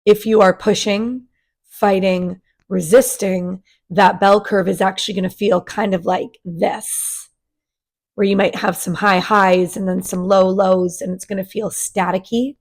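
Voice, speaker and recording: A woman, raspy after a sinus virus, giving a casual spiritual energy forecast to her channel in a gentle, chatty way. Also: medium pitch, fairly even intonation, measured pace. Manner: gentle, chatty, with many fillers and restarts. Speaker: spiritual coach and channel host.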